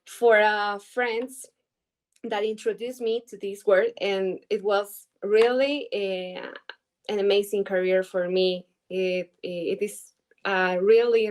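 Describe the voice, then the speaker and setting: Slow, halting young Ecuadorian woman. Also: medium pitch. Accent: Ecuadorian Spanish. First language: Spanish. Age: 20s. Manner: halting, searching for English words with many fillers. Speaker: guest trader. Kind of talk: interview answers over video call.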